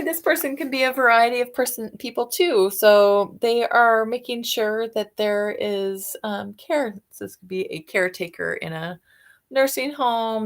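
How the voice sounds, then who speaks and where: A woman's voice: mid-range voice, vocal fry. A woman talking as a teacher to children, explaining off the cuff, guessing aloud and putting questions to her listeners. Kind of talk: children's read-aloud discussion, unscripted explaining.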